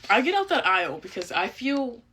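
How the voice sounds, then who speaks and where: A woman's voice: a little high, sing-song, fairly quick, heavy background noise. A young woman telling a casual personal storytime in informal speech that can be broken by vocal tics. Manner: vocal tics interrupting speech with sudden repeated phrases.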